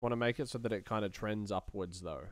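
A man's voice: on the low side, fairly quick, no room sound. A man in his thirties muttering in fragments as he casually narrates his work at a computer. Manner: muttering, thinking aloud in fragments. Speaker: sound designer, streamer. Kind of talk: casual screen-share commentary while working. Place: small indoor room at a computer.

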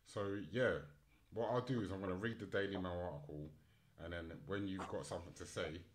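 Deep-voiced man hosting a live stream. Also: steady tone, brisk, a little hiss or hum. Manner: informal, unscripted, thinking aloud. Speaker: host of a live online news and chat show. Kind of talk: casual live stream chat and article reading.

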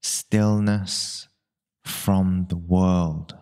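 Very slow, deep-voiced male meditation guide. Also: clean tone. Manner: calm, unhurried, long pauses between short phrases.

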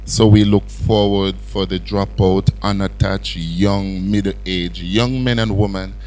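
A man's voice: lowish voice, darkish tone. A Jamaican man in his thirties, operator of a training institute, giving an earnest formal address into a handheld mic while seated indoors. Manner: earnest, speech-like. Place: indoor room, seated in armchair.

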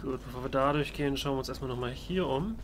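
A man's voice: medium pitch, quick pace, slight background noise. A man giving in-character video game commentary as a let's play host, with a playfully mock-indignant, joking manner. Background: video game sounds.

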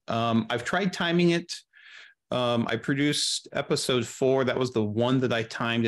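Middle-aged man explaining casually in detail. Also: medium pitch. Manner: explains at length in practical detail. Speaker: guest, audio drama producer. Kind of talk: casual interview chat. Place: indoor room, video call.

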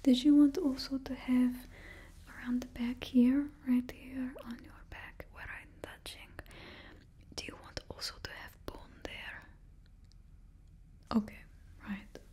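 A young woman in her twenties, voicing a blunt female stylist for supervillains with a put-on Russian accent. She whispers close to the mic in an ASMR roleplay, critical but gentle. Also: high-pitched, level tone, slow, pauses often. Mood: hushed. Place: indoors, small studio with painted backdrop. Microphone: close ASMR microphone.

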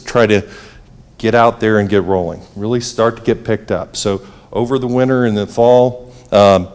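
A man speaks to an audience through a handheld mic in a library auditorium. He talks in a relaxed, conversational way with a joking edge, at an ordinary middle pitch. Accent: New England. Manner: conversational, jokey. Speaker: presenter. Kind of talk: public presentation.

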